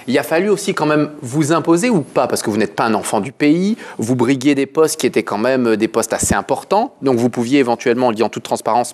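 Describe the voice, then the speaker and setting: A man's voice: mid-range voice, a little mellow. A man hosting a TV talk show, asking long rambling questions with fillers. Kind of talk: TV interview questions.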